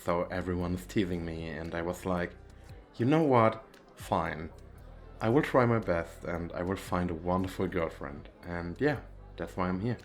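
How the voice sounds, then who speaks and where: A man's voice: low voice, normal speed, soft background music. A man playing a nervous, bashful, well-off young businessman on a date in an ASMR roleplay, with hesitant, stammering speech. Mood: polite, charming. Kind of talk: ASMR roleplay, one-sided conversation with the listener.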